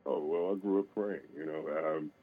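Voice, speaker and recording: A man, an opera singer, answering questions in a radio interview, halting with many fillers and restarts. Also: very deep voice, husky. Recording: phone-line sound.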